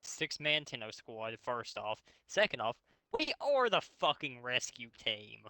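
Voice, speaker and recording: A man's voice: mid-range voice, wildly swooping pitch, a little slow, sharp tone, dry recording. A man hosting a comic fanfiction reading, switching between narration and mocking, sweary casual commentary. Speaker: host reading a story aloud.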